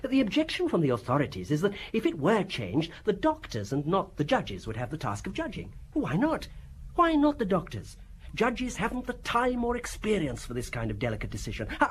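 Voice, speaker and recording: An excitable gentleman of the law, middle-aged and effusively polite. His pitch swoops dramatically as he talks with obsessive, theatrical eagerness in an audio drama, with faint noise behind. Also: fairly quick. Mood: effusive, fervent.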